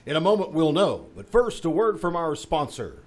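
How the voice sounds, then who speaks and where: A man's voice: fairly high pitch, big pitch swings, normal speed. A man announcing in old-time radio style, with an emphatic, showy delivery. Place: live on a theater stage.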